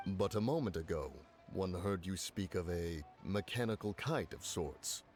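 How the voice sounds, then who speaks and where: An actor playing a haughty immortal beast in human guise, talking in pompous, archaic formal speech for a video game. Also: male voice, low voice, expressive, measured pace, darkish tone, faint music behind. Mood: lofty.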